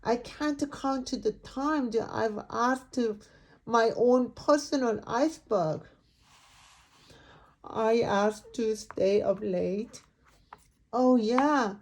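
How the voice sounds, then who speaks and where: A woman's voice: fairly high pitch, slow, vocal fry, darkish tone, full character voice. A woman voicing a dramatic, complaining storybook pigeon with a whiny, emphatic delivery. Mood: boastful grumbling. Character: indignant, dramatic pigeon who complains and pleads. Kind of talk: picture book read-aloud character.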